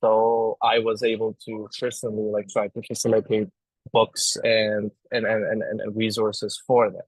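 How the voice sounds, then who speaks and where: A man from Afghanistan speaking earnestly as a guest on a live online panel, pausing often and restarting his sentences. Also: on the low side, completely flat delivery, husky, no room sound, poor audio. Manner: earnest, halting, many restarts. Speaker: astronomy outreach guest. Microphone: video call.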